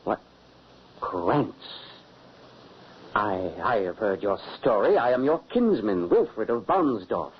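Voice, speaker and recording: An actor playing a stern, secretive huntsman and father, gruff and commanding, in old radio drama acting. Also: lowish voice, very animated, takes it slowly, long pauses, exaggerated voice. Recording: dull old radio recording with hiss.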